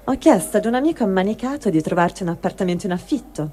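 A woman's voice: mid-range voice, animated, fast talker, mellow. A woman giving a dramatic reading of a first-person written testimony. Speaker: reader of a translated testimony.

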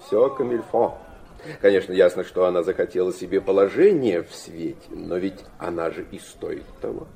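An actor playing a worldly middle-aged gentleman father in a radio drama, speaking with mocking, theatrical irony. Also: sits low, normal speed, gravelly. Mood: amused, ironic. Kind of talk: Russian radio drama acting.

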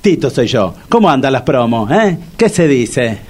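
A man's voice: medium pitch, big pitch swings, moderate pace, darkish tone, breathy edge. An actor playing a jovial, wisecracking middle-aged man with a Rioplatense accent. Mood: chummy. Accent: Rioplatense Spanish. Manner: chummy wisecracks.

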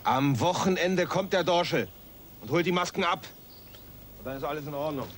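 Man acting a stubborn crook son in a radio play, voice a bit high, keeps insisting and soothing, slight background noise. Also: moderate pace, a bit bright, lightly acted. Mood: confident placating. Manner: insistent, placating. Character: stubborn grown son, reassuring small-time crook. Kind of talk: German audio drama acting.

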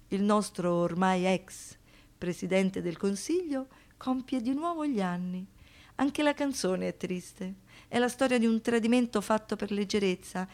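Actress playing a haunted woman, intense theatrical monologue. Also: mid-range voice. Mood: melancholy, confiding. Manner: intense, deliberate, with dramatic pauses and rhetorical questions. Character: haunted, wounded woman, lyrical, pleading and defiant. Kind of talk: theatrical radio monologue, poetic and dramatic delivery.

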